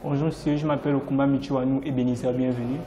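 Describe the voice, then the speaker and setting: A man's voice: medium pitch, little pitch movement, racing, warm tone, highs cut off. A young man with a Gabonese accent, introducing himself as a language student in a classroom. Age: young adult. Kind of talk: self-introduction testimonial. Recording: crowd sound behind.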